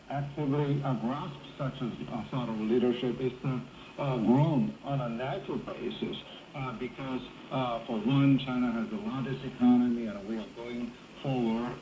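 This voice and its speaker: A man giving commentary as a discussion guest, speaking haltingly with frequent pauses. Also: medium pitch, warm tone. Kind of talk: interview discussion. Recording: echoey, very noisy shortwave radio reception.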